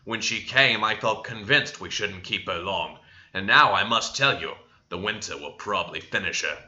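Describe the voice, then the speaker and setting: Guy putting on a grim old doctor from a storybook, low and blunt, slightly dull recording. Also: lively intonation, normal speed, pushed and bright. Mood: grim, resigned. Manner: blunt, grave, downbeat. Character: gloomy old male country doctor, blunt croaker.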